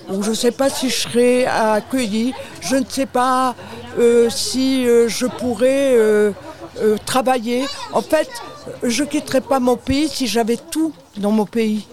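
A woman's voice: on the high side, measured pace, darkish tone. A woman from France, speaking spontaneously and emphatically as a charity representative, often addressing the listener directly. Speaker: aid charity representative, interview guest. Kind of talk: spontaneous interview explanation.

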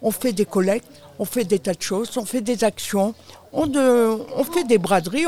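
A woman's voice: on the high side, a little mellow. A woman from France, speaking spontaneously and emphatically as a charity representative, often addressing the listener directly. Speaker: aid charity representative, interview guest. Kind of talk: spontaneous interview explanation.

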